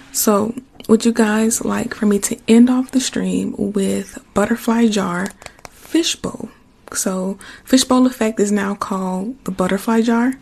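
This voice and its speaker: A hoarse woman chatting casually and reassuringly to her livestream viewers, often repeating herself. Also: medium pitch, mellow. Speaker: ASMR livestream host. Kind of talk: casual chat to live viewers.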